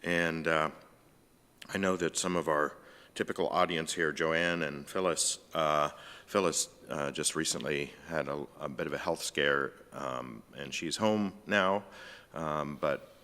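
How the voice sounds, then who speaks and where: A man co-hosting a science discussion in a church hall, his voice scratchy and hoarse after an illness, talking in a rambling way with many fillers. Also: extremely low pitch, a little slow, pushed and bright. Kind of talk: casual hosted discussion. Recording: long reverb in a church hall.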